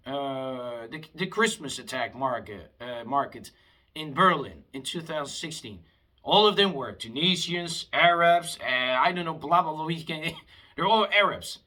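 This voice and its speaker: Young man, heated and lively. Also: a little high, slightly rough. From Afghanistan. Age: 20s. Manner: heated, rambling, with frequent restarts. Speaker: vlogger. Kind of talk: impassioned rambling monologue.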